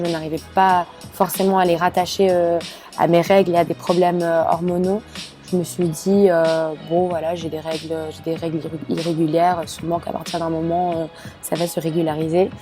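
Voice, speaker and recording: A young woman in her late teens from Belgium, telling her own story with many pauses, fillers and restarts. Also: on the low side, flat delivery, dark tone, soft background music. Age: young adult. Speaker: student sharing her experience. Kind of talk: personal testimony to camera.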